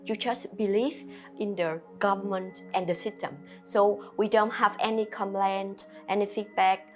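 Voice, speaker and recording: A woman from Vietnam with a Vietnamese accent, a restaurant owner answering interview questions in halting, simple non-native English with many fillers. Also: medium pitch, fairly even intonation, faint music behind.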